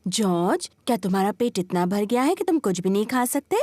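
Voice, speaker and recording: A woman voicing a kindly, coaxing, gently teasing mummy pig in a children's cartoon dub. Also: mid-range voice, lively intonation, fairly quick, no echo, clean studio sound, natural voice. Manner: coaxing, motherly.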